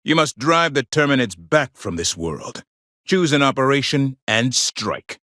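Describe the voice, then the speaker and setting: Grandiose, zealous military officer, dry close sound. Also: male voice, mid-range voice, very animated, a little slow, sharp tone, no room sound. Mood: solemn, resolute. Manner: grandiose, formal, stern oratory. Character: solemn, zealous male military democracy officer rallying soldiers. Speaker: military officer and mission briefer. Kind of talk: scripted video game voice acting.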